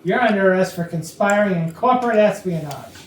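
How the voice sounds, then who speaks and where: Woman playing a confident stage detective, deliberate and authoritative, distant mic with faint hiss. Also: mid-range voice, lively intonation, natural voice. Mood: firm. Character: brisk, confident police detective laying out the case. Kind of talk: staged reading of murder mystery comedy. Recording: echoey room, distant mic, faint noise.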